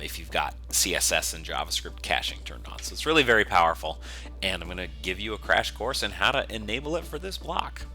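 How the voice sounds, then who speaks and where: Deep, bright-voiced man teaching code. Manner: explanatory, step by step, informal. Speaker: software instructor, developer. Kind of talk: screencast coding tutorial, semi-improvised walkthrough.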